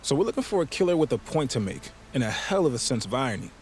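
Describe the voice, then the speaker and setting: An earnest, polite young patrolman played by a male actor speaks at a medium pitch in a measured, by-the-book way. It is game dialogue, set over noisy ambience. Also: sing-song, lightly acted. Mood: focused. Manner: measured, procedural. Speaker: uniformed police officer. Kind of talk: video game cutscene dialogue.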